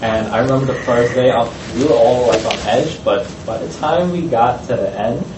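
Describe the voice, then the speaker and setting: A man, a student panelist, recounting a trip with many pauses and fillers. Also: medium pitch. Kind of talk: casual panel talk. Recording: echoey room, distant mic, noisy.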